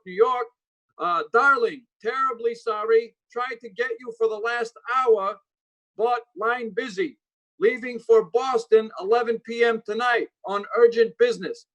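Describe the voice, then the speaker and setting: A woman's voice: a little high, natural voice, rough recording. A woman playing a polite, matter-of-fact telegraph clerk in an old-time radio drama, formal and slightly hesitant, with clipped phrasing.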